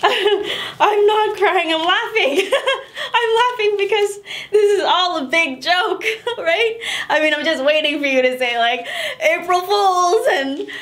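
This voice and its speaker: A woman's voice: sits high, lively intonation, unhurried. A woman performing a stage monologue as a young wife who pleads with her husband not to divorce her, laughing through near tears and rambling. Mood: frantic, laughing.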